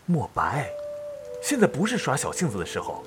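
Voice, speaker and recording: A man playing a gentle, caring village schoolteacher who pleads earnestly in a Chinese period audio drama. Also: mid-range voice, wildly swooping pitch, moderate pace, faint music behind. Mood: pleading.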